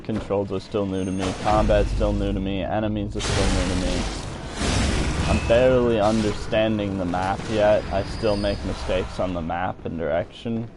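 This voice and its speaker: A man's voice: fairly low pitch, normal speed. A man giving casual live commentary as a game streamer, rambling and thinking aloud as he plays. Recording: noisy home stream, steady loud background noise.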